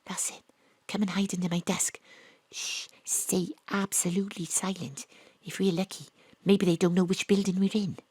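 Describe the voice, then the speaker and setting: A woman narrating and playing a bold private investigator, often hushed and conspiratorial. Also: on the low side, husky, no room sound. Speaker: narrator and lead. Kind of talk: first-person drama narration. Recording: dry home studio.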